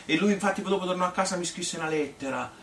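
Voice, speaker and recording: A man's voice: high voice, very fast, a bit bright, distant mic. Old Tuscan grandma played by a man, feisty, fond, rambling stage storyteller; roomy, noisy recording. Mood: amused, nostalgic. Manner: rambling, colloquial, repetitive, with little interjections. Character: old Tuscan grandmother reminiscing fondly, chatty, feisty, devoted wife. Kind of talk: spontaneous-sounding theatrical monologue.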